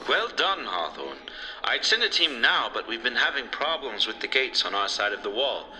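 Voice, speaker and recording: A man's voice: medium pitch, big pitch swings, moderate pace, pushed and bright. A man playing a composed, official director in an audio drama, heard through a radio filter. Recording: noisy, clicking radio link.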